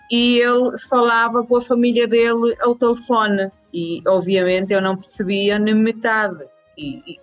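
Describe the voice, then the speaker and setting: Earnest Venezuelan woman guest. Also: medium pitch. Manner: warm, earnest, with repeated restarts. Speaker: university department head. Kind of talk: interview answers.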